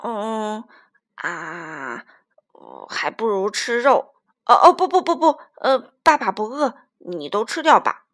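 A big, gruff storybook tyrannosaurus father, acted by a woman, blustering and stammering over a soft heart. The delivery is slow and highly animated. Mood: flustered.

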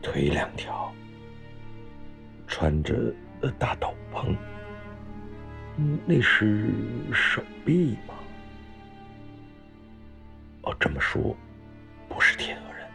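A man acting a gruff, wary desert observer in an audio drama, muttering hesitantly. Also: on the low side, sing-song, takes it slowly, pauses often, sharp tone, soft background music, heavily acted.

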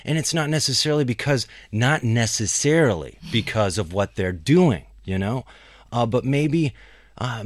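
A man's voice: mid-range voice, no room sound, studio quality. A man co-hosting a podcast, giving casual, supportive advice. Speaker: podcast co-host. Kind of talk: casual discussion.

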